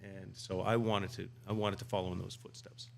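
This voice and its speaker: A man's voice: sits low, little pitch movement, a little fast, creaky. A man talking casually on a podcast as a guest, rambling with many restarts and fillers. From United States. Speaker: guest homebrewer.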